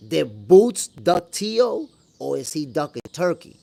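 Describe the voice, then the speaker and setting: A man with a fairly high voice and a New York accent talks boxing on a live stream. He is conversational and slangy, speaking straight to his viewers like friends as he gives his opinions. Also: sing-song, takes it slowly, vocal fry, mellow. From New York, after moving from the Dominican Republic at eight. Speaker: boxing show host. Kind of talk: casual live-stream commentary, answering viewer comments.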